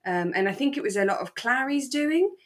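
A woman co-hosting a podcast, chatting casually in a reflective, gently hedging and agreeable way. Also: sits high, expressive, a little fast. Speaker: podcast co-host. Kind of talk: relaxed casual chat about a radio drama.

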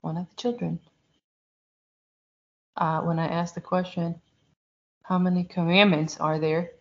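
A woman co-hosting a casual chat, talking in a rambling, lively way about her week as a church school teacher. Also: lowish voice, a little slow. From outside the United States. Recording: dull lo-fi sound.

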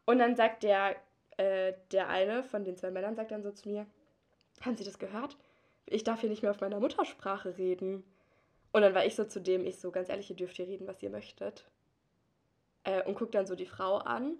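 A woman's voice: mid-range voice, steady tone, moderate pace, darkish tone. Chatty young woman telling a story. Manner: laughs often, tells anecdotes with acted quotes. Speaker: podcast co-host. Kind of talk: casual chat, storytelling.

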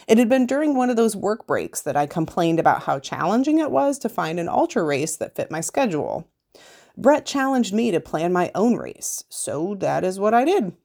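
A woman's voice: medium pitch, vocal fry, darkish tone. A woman with an American accent, a podcast host reading a written story aloud.

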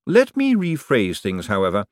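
A man voicing an urbane, calmly menacing ruler who speaks in a measured, formal, drily ironic way in an audiobook. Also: fairly low pitch, no room sound, studio quality. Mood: coolly commanding. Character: urbane, calmly menacing ruling lord, dry and precise.

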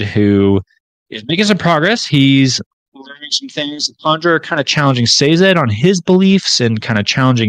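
A man's voice: medium pitch, fairly bright tone, clean tone, no echo, rough recording. A man co-hosting a casual podcast, summarizing chapters in a loose, informal way with frequent restarts. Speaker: podcast co-host.

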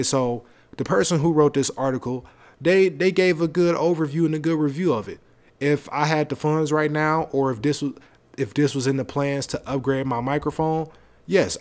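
Male podcast host, deep projected voice, casual slangy rambling delivery, close mic. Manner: loose, rambling, conversational with slang. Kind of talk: casual solo podcast talk. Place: home setup, seated on a leather seat. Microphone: close podcast microphone.

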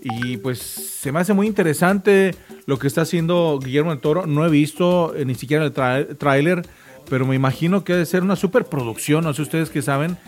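A man hosting a morning radio show in casual on-air chat. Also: on the high side.

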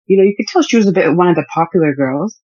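A counselor from Uganda, reflecting in a casual conversation in a measured way. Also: mid-range voice, animated, a little mellow, slightly rough, no room sound, dull audio. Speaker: counselor and guest.

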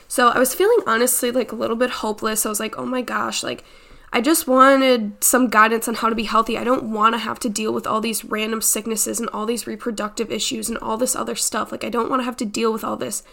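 Congested young woman with creaky voice. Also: fairly high pitch, fairly even intonation, brisk, fairly bright tone. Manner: chatty, informal, peppered with "like" and "you know". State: congested, slightly stuffed-up nose. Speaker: podcast host. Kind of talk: casual personal podcast monologue.

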